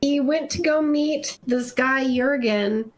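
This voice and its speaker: A woman's voice: sits high, slow. A woman narrating and bantering casually on a fanfiction reading show.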